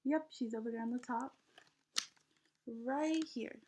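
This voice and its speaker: High female voice, children's read-aloud, teacher, expressive storybook delivery, guiding prompts for young listeners. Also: unhurried, noisy background. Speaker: teacher reading a picture book aloud.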